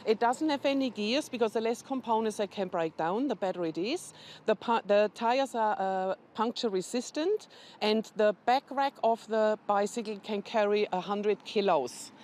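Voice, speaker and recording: A woman's voice: mid-range voice, normal speed, a little mellow. Woman explaining charity work factually. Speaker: charity representative, interview guest. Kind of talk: informative interview answers.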